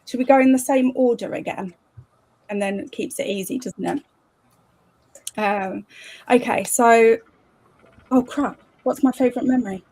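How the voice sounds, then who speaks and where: A woman's voice: a little high, moderate pace, pauses often, mellow, low-quality recording. A woman hosting a casual livestream party on a video call, chatting loosely with plenty of fillers and little giggles. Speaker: host.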